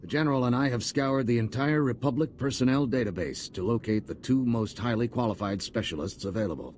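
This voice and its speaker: An actor playing a grave, formal supreme military commander in a video game, speaking in a measured way over background ambience. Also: male voice, mid-range voice, darkish tone, slight background noise, lightly acted. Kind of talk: video game dialogue acting.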